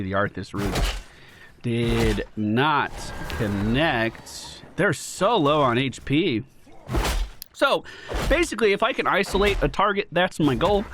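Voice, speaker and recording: A young man talks through his gameplay in a slightly nasal voice, fighting off a cold. His pitch bounces around a lot and jumps up when a play lands. Steady background noise sits under the voice. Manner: casual, swears, excitable bursts when plays land. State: getting a cold. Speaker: gaming YouTuber. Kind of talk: live gameplay commentary.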